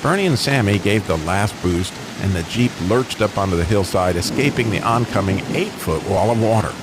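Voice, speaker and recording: A man tells a dramatic scripted story in a low voice. His intonation is highly expressive, and rain and rushing water sound clearly behind him. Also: very noisy. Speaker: narrator.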